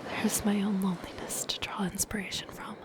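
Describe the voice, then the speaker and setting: A woman voicing a lonely, curious, softly menacing siren in a breathy, whispered, gently lilting ASMR roleplay. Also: mid-range voice, flat delivery, brisk, natural voice. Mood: wistful, fascinated. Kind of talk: soft-spoken ASMR roleplay.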